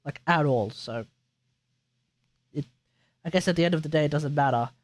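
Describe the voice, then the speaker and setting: High-pitched male game streamer. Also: normal speed. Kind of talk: casual live stream commentary reviewing game builds.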